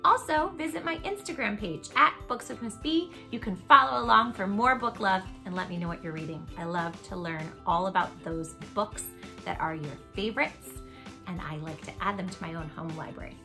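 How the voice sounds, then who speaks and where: Bright, encouraging woman reading to children. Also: mid-range voice, normal speed, faint music behind. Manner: affectionate, encouraging, addresses young listeners directly; expressive, emphatic delivery. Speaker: host reading children's picture books aloud. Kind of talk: children's read-aloud storytelling with friendly asides.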